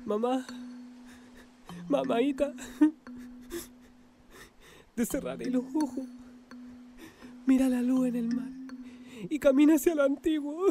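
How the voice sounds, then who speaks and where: Woman as a high-voiced, frightened orphaned boy. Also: steady tone, vocal fry, warm tone, faint music behind, full character voice. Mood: tender grief. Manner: slow, pleading, fearful. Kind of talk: radio drama acting.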